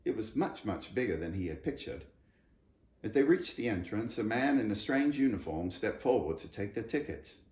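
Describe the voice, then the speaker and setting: A man narrating a children's story in an expressive, scripted storytelling style. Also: fairly low pitch, moderate pace. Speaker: storybook reader. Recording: roomy echo, background noise.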